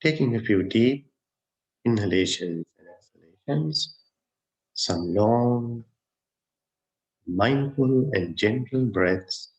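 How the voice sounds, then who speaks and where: A man leading a guided meditation as a teacher, speaking gently and unhurriedly in short phrases with long pauses. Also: on the low side, gravelly. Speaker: Buddhist monk, meditation teacher. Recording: dull, muffled lo-fi sound.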